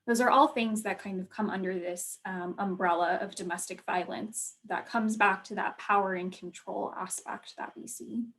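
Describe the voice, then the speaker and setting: A woman co-leading a virtual training over a video call, speaking gently with many ums and you-knows. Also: medium pitch, steady tone, normal speed, few pauses.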